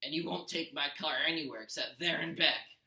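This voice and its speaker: A man voicing a kind, affectionate, even-tempered mother in a storybook read-aloud. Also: a little high, moderate pace. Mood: calm, placating. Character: Percy's kind, soothing, doting mother, adult woman. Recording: roomy echo.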